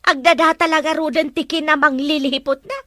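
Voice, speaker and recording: Actress as gossipy mother, bright forceful voice, fairly high, disapproving, drama dialogue, dry sound. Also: fairly even intonation, brisk, dry recording. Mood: scolding. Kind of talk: Ilocano-language drama dialogue.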